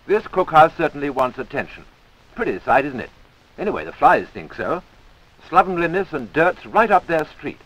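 Animated British man narrating a 1940s film. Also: on the high side, normal speed, poor audio. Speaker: narrator of an army training film.

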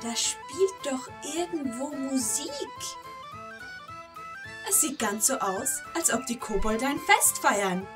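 Slow-paced woman narrating a fairy tale. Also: high-pitched, animated. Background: prominent background music.

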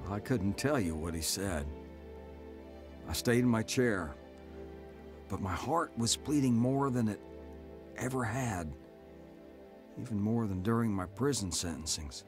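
A man's voice: on the low side, expressive, measured pace, long pauses. An actor playing a hardened male convict telling his life story in a scripted radio drama. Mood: heavy, earnest. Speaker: narrator and lead actor. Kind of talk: scripted first-person radio drama narration. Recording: music playing under the voice.

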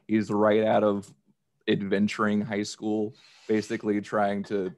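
A man in his thirties chatting casually as a podcast guest, explaining things in a halting way. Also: on the low side, monotone, darkish tone, dry recording. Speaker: podcast guest, audio drama actor. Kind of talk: casual chat.

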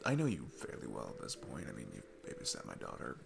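A man playing a shy, sweet single dad smitten with his babysitter, speaking gently and haltingly in a romantic ASMR roleplay. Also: rumbling low, wildly swooping pitch, vocal fry, noisy background, pitched below the performer's own voice, exaggerated voice. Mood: earnest, tender.

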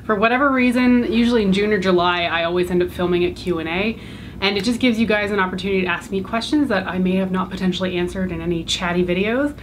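A woman's voice: medium pitch, fast, a little hiss or hum, poor audio. A Canadian woman in her thirties, chatting casually to camera as a beauty vlogger, with lots of fillers and rambling asides. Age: 30s. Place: bright home room indoors.

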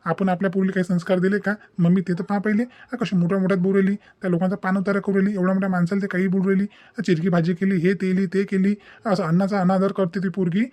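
A man's voice: high-pitched, darkish tone, dry recording, natural voice. A man playing an indignant, principled young son in a cartoon, lecturing emphatically in a Vidarbha Maharashtrian dialect. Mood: scolding. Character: indignant, principled young adult son defying his mother. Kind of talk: comic family drama cartoon dubbing.

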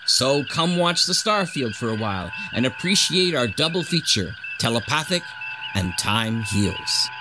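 Male podcast host, mid-pitched, playful scripted intro, noisy ambience behind. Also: very animated, measured pace. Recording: clear background ambience noise.

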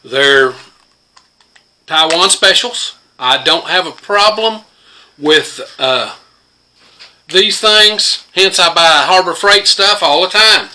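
A man's voice: sits high, takes it slowly, pauses often, pushed and bright. An older man in his home workshop, showing his tools in a plain-spoken, rambling way with many fillers. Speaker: homesteader and host. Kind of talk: casual workshop demonstration. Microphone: phone camera close to the workbench.

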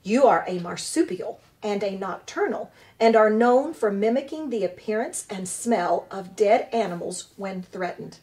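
A woman's voice: mid-range voice, expressive, a little slow, few pauses, a little mellow, natural voice. A woman voicing a polite, literal-minded female robot in a storybook reading. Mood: matter-of-fact.

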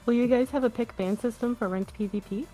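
A woman's voice: fairly high pitch, quick pace, warm tone, low-quality recording. A woman co-hosting a casual live stream, laughing often and reading viewers' questions. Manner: light, laughs often, reads chat questions.

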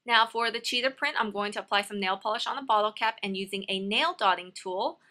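This is a woman's voice with a bright, crisp-edged tone, sitting at an average pitch for a woman. She talks viewers through steps in a casual, instructional way. It sounds like an informal tutorial voice-over from a hobby host. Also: brisk. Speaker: nail art tutorial host.

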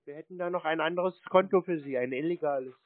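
Casting: cheeky male phone prankster, slightly high voice, casual and teasing, narrow dry phone sound. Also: fast. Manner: feigned earnest, cheeky, teasing. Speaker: prank caller answering scam calls.

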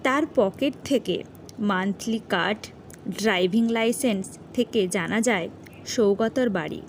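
Slow-paced woman narrating a horror story. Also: mid-range voice, slight background noise.

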